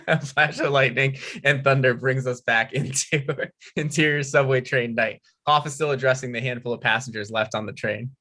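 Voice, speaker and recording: Man, crisp bright tone, mid pitch, table read host, script narration, casual delivery. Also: fairly even intonation, normal speed. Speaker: table read host, stage-direction reader and performer.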